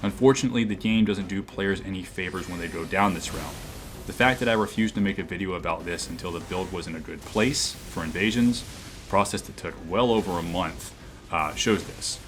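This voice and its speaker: Deep, booming man talking over game footage. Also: fairly quick, a bit bright, a little hiss or hum. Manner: reflective and earnest, with many fillers and restarts. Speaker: gaming YouTuber. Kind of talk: game commentary and channel talk.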